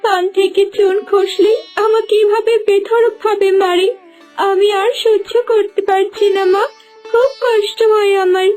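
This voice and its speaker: Woman as a very high-voiced young village girl. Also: little pitch movement, unhurried, a little mellow, soft background music, low-quality recording. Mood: sorrowful. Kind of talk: cartoon dubbing.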